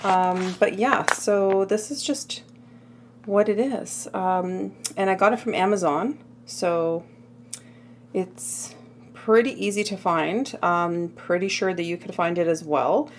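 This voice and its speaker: A woman filming a casual cooking video in her home kitchen, chatty and full of little asides, her voice a bit hoarse. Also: lowish voice, slow pace, slight background noise. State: slightly hoarse. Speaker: cooking vlogger.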